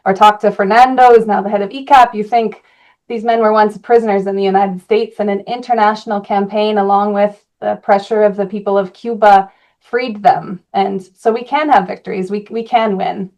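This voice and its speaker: Woman from Colorado, earnest activist guest, mid pitch, thoughtful pauses. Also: steady tone, darkish tone. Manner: earnest, with frequent "uh" fillers. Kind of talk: interview answers.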